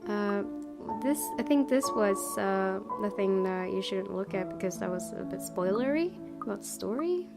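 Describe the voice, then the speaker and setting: A woman's voice: medium pitch, lively intonation, moderate pace. A young woman hosting a let's play, chatting casually and reading game text aloud. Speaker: gaming video host. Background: clear game music.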